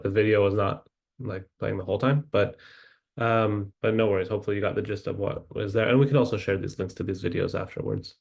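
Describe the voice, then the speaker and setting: A man's voice: on the low side, gravelly. A man hosting an online event casually over a video call, informal and a little hesitant, with a reassuring way of talking. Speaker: webinar host. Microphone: video call microphone.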